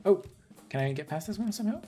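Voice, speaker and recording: A man's voice: high-pitched, expressive, quick pace, mellow, soft background music. A man with a Canadian accent hosting a casual livestream interview in a chatty, reassuring manner. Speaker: host interviewing game developers.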